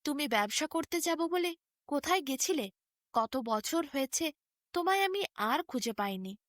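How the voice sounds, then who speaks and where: A woman's voice: sits high, expressive, moderate pace, fairly bright tone, dry recording, studio quality. A woman voicing a devout, emotional young mother pleading with her long-lost husband in a fairy tale. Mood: pleading, hurt.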